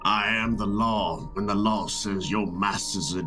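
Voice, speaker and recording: Male tabletop player, lower voice, dry playful teasing, faint music bed. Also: unhurried, pushed and bright. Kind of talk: playful roleplay banter.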